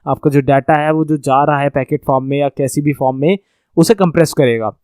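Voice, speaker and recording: A man's voice: on the high side, brisk, a little mellow, clear, resonant tone, dry recording. A man with an Indian accent teaching in an explanatory lecture style, going step by step with repetition and checks that the listener follows. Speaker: computer networking teacher. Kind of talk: explanatory lecture over slides.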